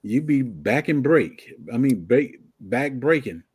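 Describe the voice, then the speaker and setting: An older man, in a voice of middling pitch. He talks good-naturedly on a livestream, stammering and starting his sentences over. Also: expressive, measured pace, darkish tone, slightly rough. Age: 60s. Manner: stammering restarts, Southern vernacular, good-natured. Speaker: livestream co-host. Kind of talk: casual livestream chat.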